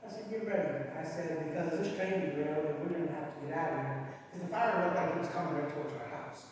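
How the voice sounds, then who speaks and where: A man from East Palestine recounts his own experience at a public meeting, in plain, halting speech full of ums. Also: fairly high pitch, darkish tone. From East Palestine, Ohio. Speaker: resident speaking at a public meeting. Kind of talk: personal account at a meeting. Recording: roomy hall, distant mic, muffled.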